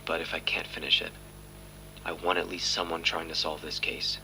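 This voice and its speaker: An actor playing a weary, brooding police detective hesitantly recording confessional case tapes in an audio drama, on a noisy tape with hum. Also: male voice, a bass voice, little pitch movement, moderate pace, gravelly, sharp tone, heavily acted. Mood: grim, weary.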